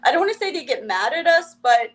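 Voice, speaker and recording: A young woman from Ukraine with a Russian accent, a comedian chatting as a guest, wry and quick to laugh. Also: high-pitched, big pitch swings. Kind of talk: casual interview chat.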